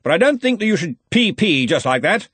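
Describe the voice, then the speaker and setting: A man's voice: sits high, big pitch swings, a little fast, a bit bright, breathy edge, no echo, pitched above the performer's own voice, full character voice. A man voicing a brash, cheerful, domineering wizard arch-chancellor in a storybook, blustery and commanding. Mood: cheerfully forceful.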